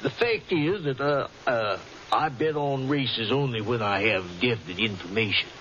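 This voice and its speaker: A man's voice: medium pitch, expressive, moderate pace, a bit bright, natural voice. Man acting a blustery old colonel, swooping delivery. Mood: confiding. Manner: hemming, throat-clearing, courtly and confiding. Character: blustery, genteel older Southern colonel, a smooth swindler. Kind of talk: old-time radio drama acting. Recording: dull, hissy old radio recording.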